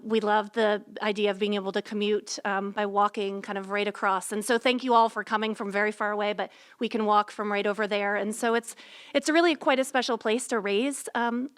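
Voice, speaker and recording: A woman in her forties, a residential life director, welcoming visitors at a school panel with many pauses and ums. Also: medium pitch, clear, resonant tone. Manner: many filler ums, warm conversational. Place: school dorm common room.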